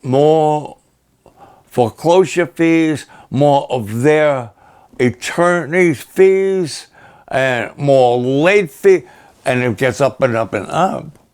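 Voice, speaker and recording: An older male pastor with a raspy, hoarse voice, talking plainly and haltingly to his supporters. He often pauses and repeats himself. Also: on the high side, lively intonation, a little mellow. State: hoarse after illness and a long program. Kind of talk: slow personal update to supporters.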